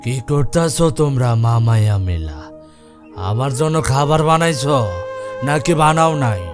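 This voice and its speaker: An actor playing a bad-tempered, threatening drunkard husband in a cartoon, coarse and bullying. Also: male voice, mid-range voice, animated, a little slow, faint music behind, lightly acted. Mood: demanding. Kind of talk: Islamic moral cartoon voice acting.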